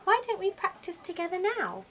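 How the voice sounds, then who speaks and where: A woman voicing a gentle, encouraging mother owl in a children's storybook, reassuring and motherly. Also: very high voice, very animated, normal speed, voice pushed up, exaggerated voice.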